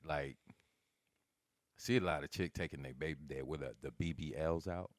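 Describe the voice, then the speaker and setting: A man co-hosting a casual podcast chat, swearing freely, whose voice jumps up sharply when he gets excited. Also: a bass voice, moderate pace, no room sound. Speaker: podcast co-host.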